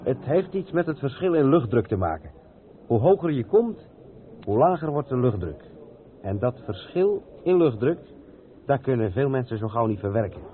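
An actor playing an experienced, patient truck driver who explains things step by step in a radio play. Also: male voice, mid-range voice, big pitch swings, moderate pace, natural voice. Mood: explaining, chatty. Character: experienced, patient long-haul truck driver showing the ropes. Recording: tinny, band-limited old radio recording with noise.

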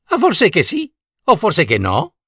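Teasing female fox put on by a male reader, high lilting voice, dry close sound, storybook. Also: huge pitch swings, takes it slowly, pushed and bright, slightly rough, no echo, heavily acted. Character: wise riddling female fox doorkeeper.

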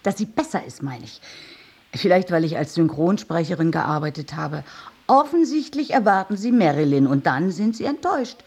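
An eccentric older lady neighbour in a radio play. Her voice is low, dark and husky, and she rambles on in a chatty, dreamily romantic way. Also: animated, moderate pace. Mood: wistful. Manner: rambling, quirky. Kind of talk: radio drama acting.

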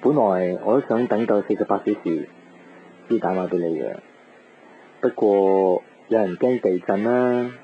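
An actor playing a devoted, teasing young boyfriend in a Cantonese radio drama. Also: male voice, lowish voice, moderate pace, slight background noise, natural voice. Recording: dull radio broadcast with music.

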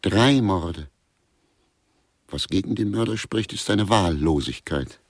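A disdainful elderly man in a grotesque radio whodunit. The voice is extremely deep, full of creak and husk. He grumbles in a pedantic, deadpan way. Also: takes it slowly, no room sound, studio quality, exaggerated voice. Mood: indignant puzzlement. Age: older. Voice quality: gravelly, husky. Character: Pelz, grumpy elderly male guest, disdainful of modern art. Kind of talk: German crime grotesque radio play dialogue.

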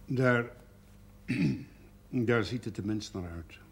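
An actor playing a proud, plain-spoken village brewer and father in a period television drama, with a husky voice. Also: fairly low pitch, slow pace, heavily acted. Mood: frank, reluctant. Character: firm, proud rural brewer and devoted father. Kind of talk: 1970s Dutch television period drama acting.

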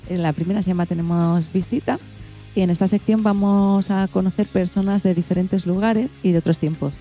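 A woman's voice: on the low side, steady tone, fairly quick, mellow, soft background music. A woman with an accent from Spain, presenting an informal radio show and explaining things to a family audience. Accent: Spanish, from Spain.

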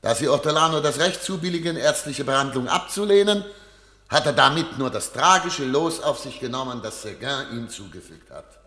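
An actor playing an eloquent, unyielding public prosecutor in a German radio play, with emphatic, formal argument. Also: male voice, mid-range voice, animated, moderate pace, sharp tone. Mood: indignant, forceful.

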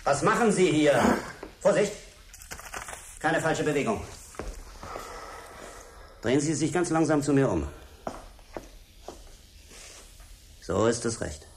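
An actor playing a stern, threatening European secret service agent in a radio drama, curt and commanding. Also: male voice, a little high, lively intonation, a little slow, pauses often, slight background noise. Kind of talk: German radio drama acting.

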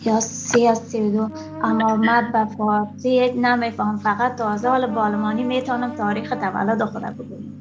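A woman's voice: on the high side, flat delivery, racing, highs cut off, music in the background. A woman in her thirties from Afghanistan giving a personal account as an interviewee. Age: 30s. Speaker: refugee interviewee.

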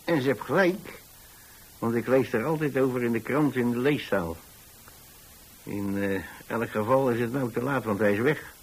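A man's voice: medium pitch, normal speed, husky, rough recording. An actor playing an older, plain-spoken pensioner in a radio drama, telling his story in a hesitant, repetitive, folksy way. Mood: reflective. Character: rambling, plain-spoken old pensioner who takes in lodgers. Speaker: narrator of a radio play.

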